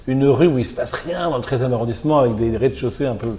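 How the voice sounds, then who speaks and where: A man's voice: medium pitch, fast, warm tone. A middle-aged man, a philosopher guest, thinking aloud in long reflective sentences in a small gallery salon. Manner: thoughtful, builds ideas in long clauses. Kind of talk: reflective conversational interview.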